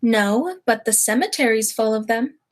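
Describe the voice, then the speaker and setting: Woman acting prim elderly aunt, high voice, motherly, fussy, radio drama. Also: lively intonation, normal speed, a little hiss or hum, lightly acted. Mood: prim, innocent.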